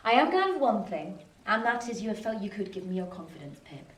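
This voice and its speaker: An actress playing a gentle, sensible, sweet-tempered common country girl, candid yet hesitant, in a radio drama. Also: mid-range voice, very animated, a little fast, lightly acted. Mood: quietly resigned. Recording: echoey room, distant mic, faint background noise.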